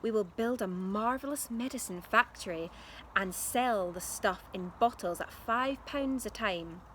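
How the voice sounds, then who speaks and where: A woman voicing an excitable, blustering father with grand schemes in a children's storybook, speaking emphatically. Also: mid-range voice, measured pace, slight background noise, natural voice. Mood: feverish excitement. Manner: emphatic, insistent.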